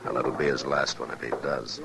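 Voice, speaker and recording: An actor playing a laconic frontier marshal in an old-time radio western, calm, measured and authoritative. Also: male voice, very deep voice, vocal fry, heavily acted. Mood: grim, wary. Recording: old radio drama, crowd behind.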